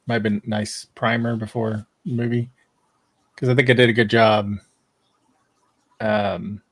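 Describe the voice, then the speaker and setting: A man's voice: lowish voice, flat delivery, a little slow, no room sound. A man talking casually as a fan panelist, rambling and thinking aloud with some hesitation.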